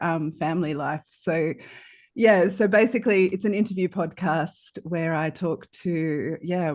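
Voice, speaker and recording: A woman's voice: lowish voice, a little mellow. A woman speaking informally and unscripted as a panel guest, with a self-deprecating manner. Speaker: podcast creator and author, panel guest.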